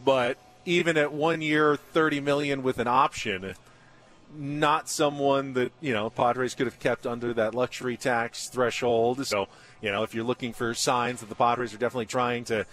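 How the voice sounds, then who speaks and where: A man's voice: medium pitch, fairly even intonation, normal speed, a bit bright. A man hosting live sports talk radio from a remote broadcast. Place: live remote broadcast at a baseball spring training site.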